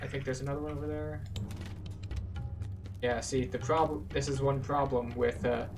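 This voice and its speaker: A man's voice: medium pitch, flat delivery, normal speed, recorded from a distance. A man giving casual, explanatory gameplay commentary as a methodical tour guide. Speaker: video game tour guide, player narrating a playthrough. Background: game music.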